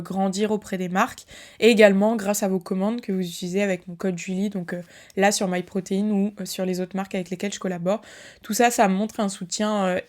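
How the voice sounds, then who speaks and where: A woman's voice: mid-range voice, a little mellow. A young woman hosts her own podcast alone, sounding tired. She chats casually and turns often to her listeners, with many hesitations and fillers along the way. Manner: chatty, many fillers, addresses listeners directly. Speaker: podcast host and fitness creator. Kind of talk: casual solo podcast talk.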